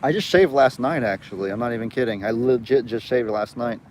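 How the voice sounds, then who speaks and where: Young man, light Southern US drawl, mid-pitched, chatty livestream host who rambles and reads viewer comments aloud. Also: brisk, a little mellow, slight background noise. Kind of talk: casual live chat.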